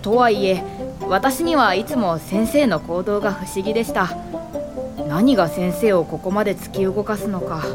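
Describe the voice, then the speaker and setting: A woman's voice: mid-range voice, moderate pace, over loud music, natural voice. A woman playing a respectful, admiring young assistant who narrates and acts in a radio drama. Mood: admiring, reflective. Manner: polite, respectful.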